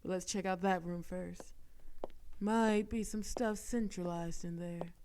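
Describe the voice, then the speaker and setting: A bold, teasing female crime boss, voiced by a woman in an audio roleplay. Her delivery is unhurried and mellow. She flirts and jabs playfully. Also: on the low side, fairly even intonation, slight background noise. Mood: focused. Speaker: voice actress.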